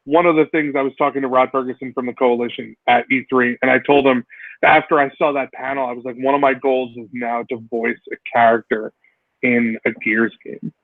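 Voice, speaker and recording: An animated man chatting about video games, full of "like" and quick restarts. His voice sits in a middle range. It sounds dry and thin, like it is coming down a phone line. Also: medium pitch, dry recording. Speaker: podcast co-host. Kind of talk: casual gaming chat.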